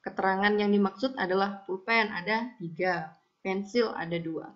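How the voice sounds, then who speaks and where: A woman's voice: medium pitch, fairly even intonation, moderate pace. A woman explaining a lesson as a school teacher, in a didactic way with frequent little check-in tags. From Indonesia. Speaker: high school math teacher. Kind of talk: recorded statistics lesson, explanatory. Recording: dull, lo-fi audio.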